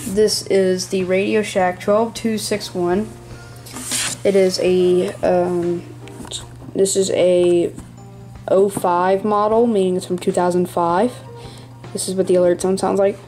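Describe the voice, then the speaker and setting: A hobbyist giving a casual, rambling show-and-tell in a small room at home, speaking haltingly near a handheld camera. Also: medium pitch, flat delivery, darkish tone, faint music behind. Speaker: hobbyist presenting a radio collection. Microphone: handheld camera close by.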